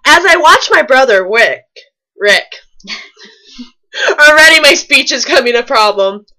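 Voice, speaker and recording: A woman's voice: sits high, animated, a little slow, bright, forceful tone. A woman co-hosting a comedic fan fiction reading, reading aloud and breaking off for casual, mock-exasperated commentary.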